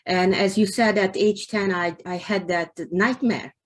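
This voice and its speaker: A woman's voice: medium pitch, fairly even intonation, unhurried. A woman whose first language is Hungarian, answering interview questions about her writing earnestly, with small restarts. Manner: earnest, with restarts and light laughs. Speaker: guest author.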